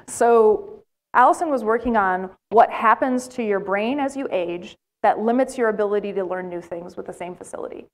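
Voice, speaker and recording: A middle-aged woman with an American accent speaks in a large lecture hall, caught by a camera at some distance. She lectures in an animated, storytelling way and breaks off to ask the audience questions. Her pitch sits in the middle of the usual range for a woman. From United States. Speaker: plenary speaker.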